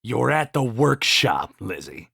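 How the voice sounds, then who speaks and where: A man voicing a young male workshop boss in a visual novel, exasperated but chummy and informal, gravelly and husky. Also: mid-range voice, sing-song, takes it slowly, bright, forceful tone, no echo, clean studio sound, heavily acted. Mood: wry, patient.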